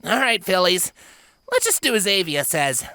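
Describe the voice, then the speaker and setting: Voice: medium pitch, very animated, normal speed, bright, forceful tone, no room sound, clean studio sound, pitched above the performer's own voice. A storyteller voicing a brash young female pegasus filly in a fantasy audiobook. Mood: resolute.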